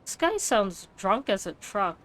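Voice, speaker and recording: A woman's voice: medium pitch, very animated, a little slow, noisy background. A woman game streamer giving casual, informal, sympathetic commentary on a playthrough.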